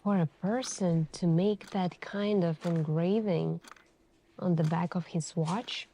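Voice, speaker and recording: Asmr lady playing a calm suspicious detective, really slow and deliberate, bit of background noise. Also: lowish voice. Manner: deliberate, pausing, pointed questioning. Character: calm, suspicious, probing female police detective. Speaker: ASMR roleplay performer. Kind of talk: ASMR interrogation roleplay, slow deliberate delivery.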